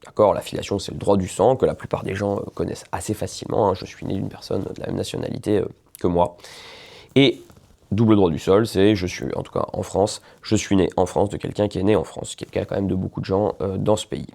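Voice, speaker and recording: Low, gravelly-voiced man explaining law. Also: steady tone, fast, mellow. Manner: conversational, many hesitations, checks in with "d'accord". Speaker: lawyer explaining nationality law. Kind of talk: informal explainer video.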